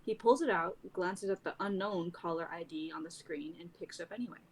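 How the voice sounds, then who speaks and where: A woman's voice: lowish voice, fairly quick, slight background noise, poor audio. A young woman narrating stage directions from a drama script. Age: young adult.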